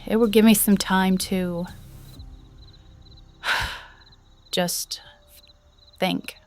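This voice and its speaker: A woman playing a betrayed, heartbroken woman in an audio roleplay, with halting, conversational delivery. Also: mid-range voice, fairly even intonation, faint music behind. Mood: weary, resigned. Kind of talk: audio roleplay drama, one side of phone call.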